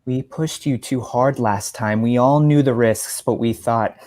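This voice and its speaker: A man reads the part of a magic professor dad in a storybook tale. He is gentle and earnest, with a mid-pitched voice. His delivery is measured and reassuring. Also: close to an everyday voice. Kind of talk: story reading, dialogue part.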